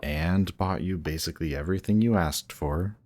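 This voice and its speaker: A man playing a grumpy, guarded villain who is secretly softhearted, speaking haltingly and defensively in an intimate one-sided roleplay audio. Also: sits low, a little hiss or hum, lightly acted. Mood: grudgingly fond. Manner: halting, defensive, reluctantly tender. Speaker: voice actor.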